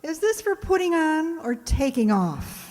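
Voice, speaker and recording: An actress playing a wry, self-mocking schoolteacher, dry and wisecracking, in an old-time radio comedy. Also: female voice, sits high, big pitch swings, a little slow, darkish tone. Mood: dry, polite. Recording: reverberant hall sound.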